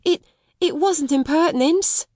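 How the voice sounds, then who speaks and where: A woman's voice: high-pitched, measured pace, mellow, dry recording, clean studio sound, voice pushed up. A woman voicing a timid, frightened poor servant girl, stammering and pleading.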